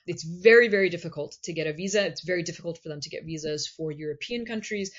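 A woman, a guest scholar, explaining history in a measured way and often checking in with "right?". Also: on the low side, dull audio. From United States. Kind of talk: explanatory interview talk.

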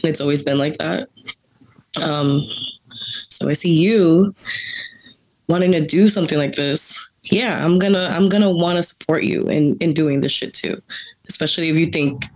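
A young woman from the Bronx, a coffee grader, chatting as a guest in a blunt, profane, animated way and laughing often. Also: sits low, normal speed, long pauses, rough recording. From Bronx, New York. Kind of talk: casual chat.

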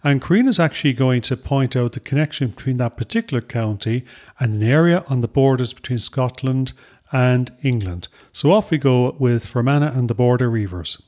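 A man's voice: medium pitch, lively intonation, a little fast, a little mellow. Man presenting a genealogy podcast. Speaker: podcast host. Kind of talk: casual presenting.

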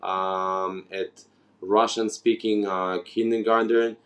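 A man's voice: lowish voice, flat delivery, slow. A man from Ukraine who grew up in Israel, a guest in a casual interview, talking hesitantly with many fillers and restarts. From Ukraine, raised in Israel.

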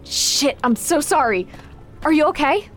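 An actress playing a caring but exacting magic trainer in a naturalistic audio drama, frank and instructive in manner. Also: female voice, high-pitched, lively intonation, a little slow, faint music behind. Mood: apologetic, alarmed. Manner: frank, instructive, gently concerned.

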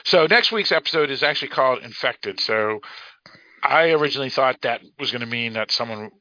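A man with a Boston accent talks casually as a podcast co-host. His pitch is average and he hedges as he rambles through explanations. The recording is dry and slightly dull. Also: expressive, a little fast, no echo. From Boston area, United States.

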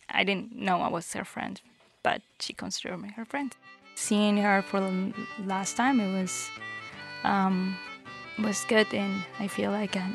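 A woman speaking unscripted in a halting, plainspoken, sincere way, as in an informal interview. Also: mid-range voice, creaky. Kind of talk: unscripted street-interview style remembrance.

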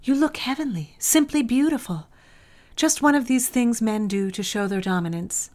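A woman's voice: a little high, animated, normal speed, a little mellow, close to an everyday voice. An actress playing a worldly, motherly female office confidante who speaks in a soothing, confiding, gossipy way. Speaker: office coworker and former secretary. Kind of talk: audio drama acting.

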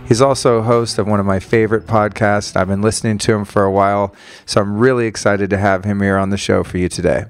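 A man in his forties hosting a casual podcast interview, enthusiastic and quick to laugh. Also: lowish voice, steady tone, smooth, no echo, soft background music. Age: 40s. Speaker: podcast host.

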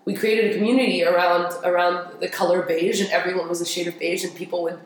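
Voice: mid-range voice, flat delivery, quick pace. Young interview guest in a very echoey room. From grew up in Paris, France. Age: young adult. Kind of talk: casual conversational storytelling. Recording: very echoey room with faint noise.

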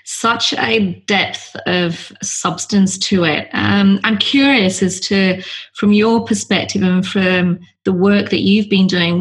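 Husky-voiced woman hosting an interview. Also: lowish voice. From not Australian, lives in Australia. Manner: warm, curious questioning with light laughs. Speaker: podcast host. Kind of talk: podcast interview.

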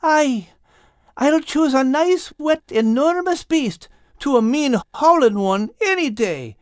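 A woman's voice: high-pitched, animated, slow pace, a little mellow, no room sound, clean studio sound, pitched above the performer's own voice. A woman voicing a timid, stubborn male cat with folksy, plain-spoken wording in a storybook. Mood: relieved.

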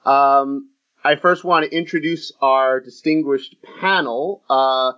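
A man hosting a casual sports podcast, talking in a halting way with many fillers and restarts. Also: medium pitch, clear, resonant tone. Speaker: podcast host. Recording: muffled lo-fi audio.

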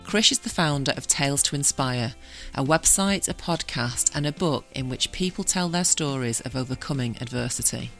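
A woman with a Manchester accent hosting a podcast interview, chatty and quick to laugh. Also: very deep voice, faint music behind. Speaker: podcast host.

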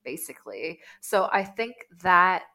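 A woman in her thirties from Canada, a guest chatting casually, animated and full of "like"s. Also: on the low side, unhurried, gravelly. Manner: chatty and animated, many "like"s, laughs easily. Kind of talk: casual conversation.

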